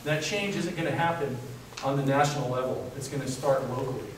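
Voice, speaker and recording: Man, candidate, earnest and halting, distant mic, booming hall echo, noisy background. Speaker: political candidate. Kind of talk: debate statement. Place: public event hall. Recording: echoey hall from far off.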